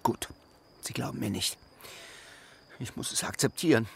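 An actor playing a tiny, polite alien gentleman in a children's radio play, formal, precise and very earnest. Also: medium pitch, normal speed, gravelly, slightly rough, no echo, exaggerated voice. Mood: insistent.

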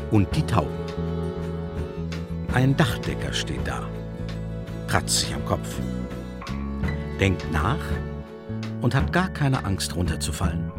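A male narrator tells a children's story in short, deliberate phrases. His pace is slow and his slightly low voice moves expressively, over prominent guitar music.

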